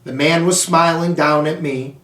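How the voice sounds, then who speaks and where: A man reads a children's storybook aloud in an expressive, theatrical way, with dramatic emphasis on action words. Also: fairly high pitch, a little slow. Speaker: school superintendent reading aloud to pupils. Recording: roomy echo, distant microphone.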